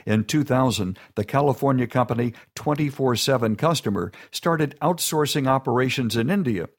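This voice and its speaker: A man giving a steady, informative scripted narration. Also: medium pitch, moderate pace.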